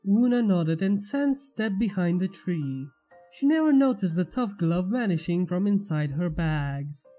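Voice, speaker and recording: A woman's voice: fairly low pitch, lively intonation, unhurried, highs cut off, soft background music. A woman narrating fan fiction as a channel host, reading scripted prose expressively.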